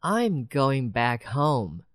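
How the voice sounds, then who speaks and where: A woman voicing a small, anxious country mouse in a children's storybook, squeaky and slow. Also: very animated, no room sound, clean studio sound, exaggerated voice. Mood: calm.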